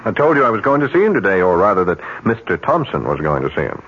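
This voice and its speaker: Deep, cynical radio-drama schemer. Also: male voice, sing-song, quick pace, fairly bright tone, full character voice. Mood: cynical, insistent. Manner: smooth, chuckling, insistent and emphatic. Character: cynical, persuasive male ad executive scheming to corrupt a colleague. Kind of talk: radio mystery drama acting. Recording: dull, noisy old radio recording.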